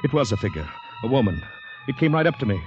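An actor playing a panicky, polite, shell-shocked Canadian man who narrates his ordeal intensely and haltingly in a 1950s radio drama. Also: medium pitch, big pitch swings, close to an everyday voice. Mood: panicked, tense. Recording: dull vintage radio recording, music behind.

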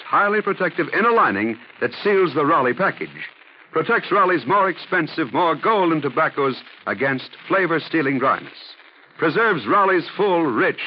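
A man announcing a scripted 1940s radio commercial with a polished, emphatic sales delivery. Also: on the high side. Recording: muffled, noisy 1940s radio recording.

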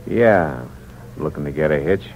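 Deep-voiced, hard-boiled young ex-convict. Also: male voice, a little slow. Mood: guarded, casual. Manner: hard-boiled, slangy, wry. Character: weary, bitter young male ex-convict, mortally wounded. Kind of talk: 1940s radio crime acting. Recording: dull, noisy old radio recording.

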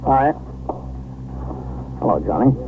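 A man playing a composed, authoritative American precinct captain, measured and terse, in a scripted 1950s radio drama. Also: medium pitch, very animated. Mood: businesslike. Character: composed, authoritative police precinct captain narrating his shift. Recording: noisy, narrow 1950s radio recording.

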